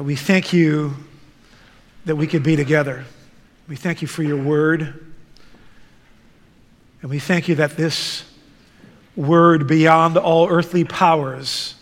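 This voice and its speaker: A man who grew up in the Netherlands, preaching a sermon and reading scripture in a slow, deliberate way. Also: a little high. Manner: slow, deliberate, measured delivery. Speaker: guest preacher. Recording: reverberant church hall.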